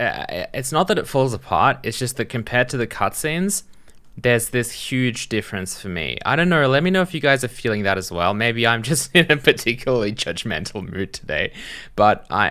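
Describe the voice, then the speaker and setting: Man, lowish voice, casual reaction host, hesitant, rambling, unscripted commentary. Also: a bit bright. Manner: hesitant, rambling, thinking aloud. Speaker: host reacting to game trailers as a game developer.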